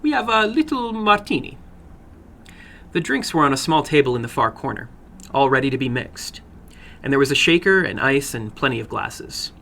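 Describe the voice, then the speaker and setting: A man narrates horror fiction in a dramatic read. His voice has a crisp, bright edge and plenty of creak, at a middling pitch. Faint noise sits behind him. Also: brisk.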